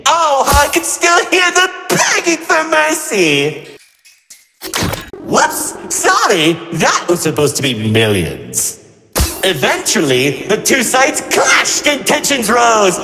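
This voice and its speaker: A man's voice: extremely high pitch, huge pitch swings, takes it slowly, heavily acted. A man voicing a gleefully cruel trickster villain in a game, telling tales of war in a mocking, flippant, melodramatic way. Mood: mock sorrow, gleeful. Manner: mocking, flippant, melodramatic, swinging between grandeur and glee. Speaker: narrator villain. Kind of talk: theatrical storytelling narration. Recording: echoey hall reverb over music. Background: music.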